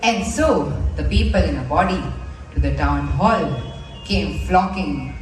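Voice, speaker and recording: A woman's voice: lowish voice, expressive, unhurried. A female student performing as the narrator of a stage play, telling the tale in dramatic, poised verse. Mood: dramatic storytelling. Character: theatrical storybook narrator, descriptive and poised. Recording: echoey school hall from far, music behind.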